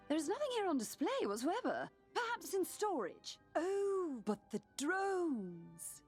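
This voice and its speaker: An actress voicing a chirpy, posh, flustered museum attendant in a game, with a fussy, breezy, theatrical delivery. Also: female voice, very high-pitched, exaggerated voice. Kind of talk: scripted game dialogue. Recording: game audio over background music.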